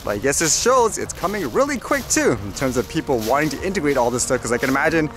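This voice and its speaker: A man's voice: fairly high pitch, very animated, quick pace, over music. A man giving casual, off-the-cuff commentary in a rambling, conversational way, as a vlogger would.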